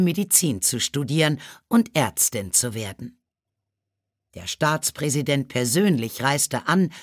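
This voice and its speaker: A woman's voice: deep voice, dry recording, studio quality. A woman reading a scripted biographical story as an audiobook narrator.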